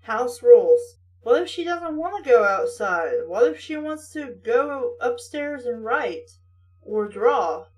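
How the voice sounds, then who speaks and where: A woman's voice: sits high, expressive, slow pace. A woman reading a story aloud as host and breaking off for sarcastic, questioning asides. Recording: distant mic.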